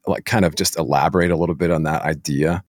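A man hosting a casual podcast interview, asking meandering questions in a halting way. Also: rumbling low, steady tone, no echo, studio quality.

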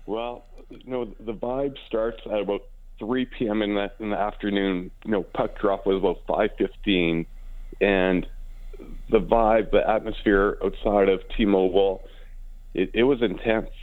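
A man with a hoarse, raspy voice after celebrating, answering casual interview questions in a halting way, repeating words as he goes. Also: lowish voice, fairly even intonation. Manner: halting, repeats words as he gathers his thoughts. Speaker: interview guest, hockey team co-owner and businessman. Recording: dull, phone-like band-limited line.

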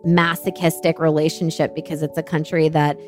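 Brief: woman, 30s, American accent, low voice, founder guest, thoughtful and candid, faint music underneath. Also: level tone, fairly quick, a little mellow. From born in Dubai, raised in Bangladesh and Pakistan. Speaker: venture capital founder, guest. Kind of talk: reflective personal storytelling.

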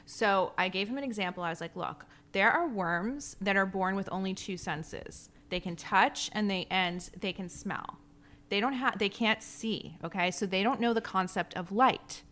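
A woman's voice: mid-range voice, normal speed, fairly bright tone. Open-minded woman co-host. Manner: open-minded, explaining with examples. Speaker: podcast co-host. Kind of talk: casual chat.

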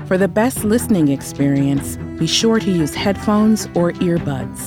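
A female podcast announcer with a lowish voice delivers a scripted introduction. She takes it slowly and deliberately over clear guitar music. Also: expressive. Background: prominent guitar music.

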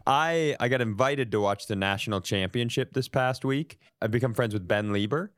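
A man's voice: mid-range voice, moderate pace, fairly bright tone, dry recording. A man hosting a comedy podcast, telling casual comic stories in a joking, self-deprecating way with some swearing. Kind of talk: casual comic storytelling and banter.

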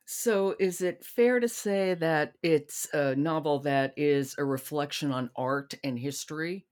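A woman's voice: sits low, unhurried, darkish tone. A woman hosting a podcast, speaking in a conversational interview style as she prompts a guest. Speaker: podcast host.

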